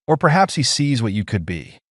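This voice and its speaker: A weary alien mother, acted out by a male narrator, speaks in measured, formal phrases. She is proud, gentle and firm, in a dry, close audiobook sound with lively pitch movement. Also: no echo. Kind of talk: audiobook character dialogue.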